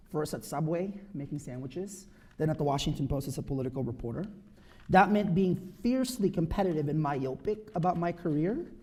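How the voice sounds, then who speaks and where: A man in his thirties from the Philippines giving a personal talk to an audience, earnest and emphatic, often checking in with a quick "right?". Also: on the high side, warm tone.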